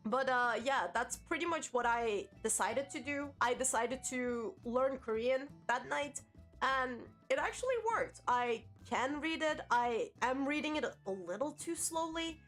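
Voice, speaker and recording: A native Turkish speaker in her thirties, a woman chatting to her stream viewers with a slightly high voice. She rambles and pokes fun at herself, and swears offhandedly. Faint music plays behind her. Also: a little slow, fairly bright tone. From Turkey. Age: 30s. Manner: rambling, chatty, self-mocking, swears casually. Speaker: VTuber streamer. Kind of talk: casual livestream chat.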